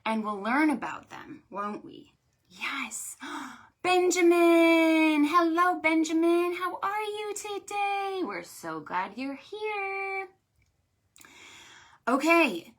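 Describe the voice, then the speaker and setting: A woman hosting a preschool storytime, speaking to small children in an animated, sing-song, exaggerated way. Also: sits high, slow pace. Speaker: children's librarian, storytime host.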